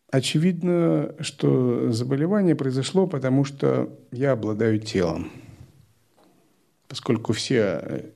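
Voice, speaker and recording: A man giving a spiritual lecture, explaining step by step in a calm, methodical way. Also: medium pitch, vocal fry. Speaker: spiritual teacher.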